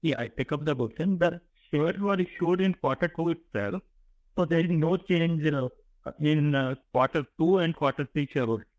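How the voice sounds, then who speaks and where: Higher-pitched Indian man on dull line. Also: moderate pace, darkish tone, no echo. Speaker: company finance executive. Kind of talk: brief business answers. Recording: dull conference phone line.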